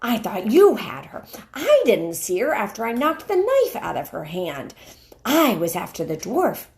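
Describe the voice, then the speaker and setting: Kindly, chummy storybook rescuers, acted out by a woman reading aloud. The voice is animated and often lifted high, full of brisk questions and exclamations. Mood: flustered. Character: kind, busy rescuers chattering, chummy British storybook soldiers.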